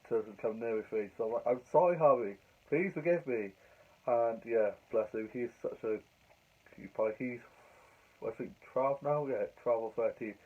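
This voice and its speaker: Croaky young man, tinny sound. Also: mid-range voice. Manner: chatty, rambling, fillers and restarts. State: croaky from a cold. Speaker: vlogger. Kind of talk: casual diary vlog.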